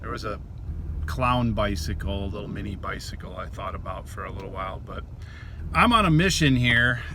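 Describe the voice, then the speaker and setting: A man's voice: lowish voice, lively intonation, moderate pace, pushed and bright, slight background noise. A man with an American Midwestern accent, chatting casually and offhandedly as a vlogger, mumbling a little as he rambles. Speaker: vlogger, reseller. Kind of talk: casual rambling vlog talk.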